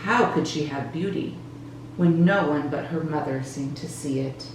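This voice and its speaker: A woman narrates a children's picture book in a low register, taking her time over the words. Her delivery is expressive and deliberate. The sound is very echoey, picked up some way from the microphone. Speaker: children's storybook reader for a church Sunday school. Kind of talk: scripted storybook narration for children. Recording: roomy echo, distant mic, faint noise.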